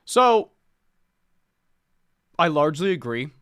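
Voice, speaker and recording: Lively, smooth-voiced male podcast host. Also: a little high, takes it slowly, no echo, studio quality. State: slightly sniffly. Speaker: book club podcast host. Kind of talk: casual podcast chat.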